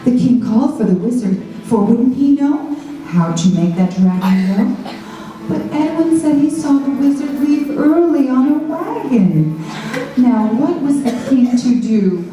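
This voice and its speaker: A woman narrates a rhyming fairytale for children with theatrical flair. Her voice is soft-toned and very animated in pitch. It comes from a distance in a heavily echoing hall, with faint music. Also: measured pace. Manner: dramatic, expressive. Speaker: children's storyteller. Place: school show before children.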